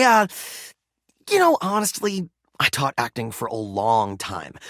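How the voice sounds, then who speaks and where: A man's voice: fairly high pitch, fairly bright tone, no room sound, clean studio sound, full character voice. A man voicing a goofy, good-natured game developer in a video game, with playful, slangy, casual delivery. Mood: self-deprecating. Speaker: actor and game creator character.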